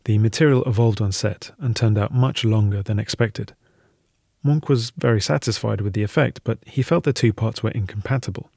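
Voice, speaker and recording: A man's voice: lowish voice, moderate pace, vocal fry, mellow, slightly rough, no echo, studio quality. A man reading scripted documentary narration as the narrator.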